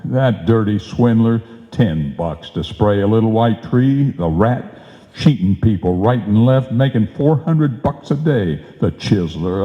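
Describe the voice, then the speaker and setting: A man's voice: fairly low pitch, lightly acted. A man playing a cranky, penny-pinching schemer husband in old-time radio comedy, sputtering and grumbling with indignation. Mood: muttering grievance. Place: live performance on a stage in a hall. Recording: muffled live stage recording, echoey hall.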